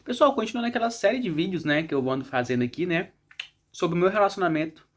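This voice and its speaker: Male vlog host, Brazilian Portuguese accent, slightly high voice, informal delivery peppered with tag questions. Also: animated, fast talker. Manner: frequently checks in with tag questions. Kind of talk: casual chat to viewers.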